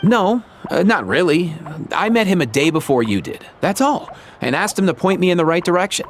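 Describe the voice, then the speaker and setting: A man's voice: high-pitched, sing-song, a little fast, a little hiss or hum. An actor playing a casual, brotherly young man in a video game, slangy and a little bashful. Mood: sheepish.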